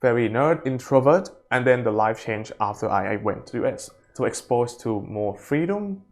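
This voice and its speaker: A young Thai man speaks in a medium-pitched voice with a Thai accent. He is a startup founder and native Thai speaker answering interview questions casually, with simple non-native phrasing. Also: lively intonation, normal speed. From Thailand.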